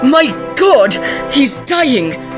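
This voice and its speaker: A woman's voice: high-pitched, big pitch swings, very slow, full character voice. A woman acting an anxious young student, music behind. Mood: alarmed. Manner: earnest, worried, old-fashioned diction. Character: anxious, well-meaning young student neighbour in Victorian drama. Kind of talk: audio drama acting.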